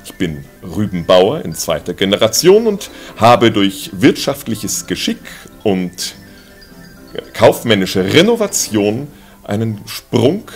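A man's voice: fairly low pitch, very animated, slow pace, breathy edge, soft background music, heavily acted. An actor playing a pompous, newly rich middle-aged beet farmer who puffs himself up with grand words he muddles. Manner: grandiose, mixes up fancy words. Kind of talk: in-character stage monologue.

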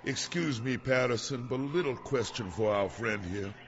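An actor playing a wry, seasoned police officer in a radio drama, chiding in a folksy way. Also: male voice, mid-range voice, normal speed, noisy background, lightly acted. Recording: dull radio drama sound with music.

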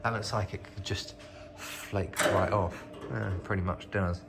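Deep-voiced British man vlogging casually. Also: steady tone, measured pace, creaky, heavy background noise. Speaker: vlogger, host of a channel about rotten old cars. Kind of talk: casual off-the-cuff walk-around commentary. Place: inside a car workshop.

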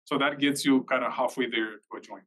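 A man's voice: medium pitch, fairly even intonation, fairly quick, a bit bright, poor audio. A man giving an informal technical explanation at a live meetup, rephrasing as he goes. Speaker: technical presenter.

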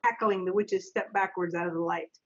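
A woman's voice: on the low side, fairly even intonation, fairly quick, slightly rough. A middle-aged woman acting as narrator and stage manager, reading stage directions aloud over a lo-fi video call. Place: home office, indoors. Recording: dull lo-fi video-call audio.

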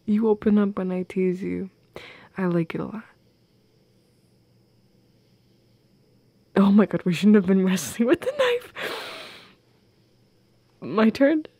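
Soft creaky-voiced teasing goth girlfriend, played by a woman. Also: medium pitch, sing-song, normal speed, pauses often, no room sound. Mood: playful, affectionate. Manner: teasing, coy, giggly. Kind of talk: intimate audio roleplay addressed to the listener.

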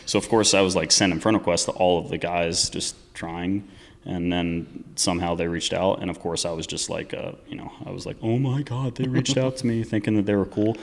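Low voiced east coast guy in his 30s, laid back rambly storytelling, bit of reverb. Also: steady tone, brisk, gravelly. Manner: laid-back, swears casually, trails off often. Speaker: guest, racing co-driver. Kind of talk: casual storytelling chat.

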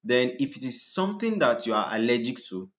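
A deep-voiced man talks through medical slides in a lecture. His voice sits at an ordinary middle pitch, and he keeps tossing out "what?" to his students before giving the answer. The lo-fi screen recording sounds a little dull. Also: big pitch swings, a little fast, a bit bright. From Nigeria. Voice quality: deep, just-woken voice. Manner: asks rhetorical "what?" questions and answers them.

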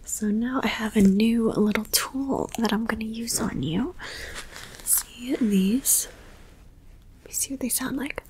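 A woman whispering softly in an ASMR personal-attention roleplay, gently reassuring, with repeated trigger words and small chatty asides. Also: a little high, a little slow, slight background noise. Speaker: ASMR creator.

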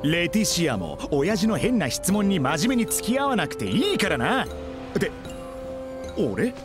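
A decisive young starship captain from a game, casual and direct. His pitch swings all over in a lively way, a bit above the usual male range. Game music runs behind. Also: a little slow. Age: young adult. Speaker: ship captain, young company ace. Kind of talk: Japanese game dub acting.

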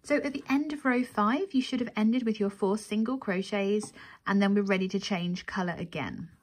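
A woman's voice: mid-range voice, normal speed, slight background noise. A woman presenting a craft tutorial, giving methodical step-by-step instruction and counting as she goes.

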